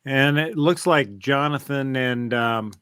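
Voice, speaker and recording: A man's voice: mid-range voice, slow pace, a bit bright, clean tone, dry recording. A man hosting a podcast in casual chat, a little hesitant as he searches for words. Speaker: podcast host.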